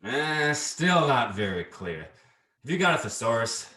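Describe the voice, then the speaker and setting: A man's voice: medium pitch, sing-song, a little slow, bright, forceful tone. A man playing a fussy but genial Wild West sheriff in a radio play, with a folksy, critical way of talking. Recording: echoey room.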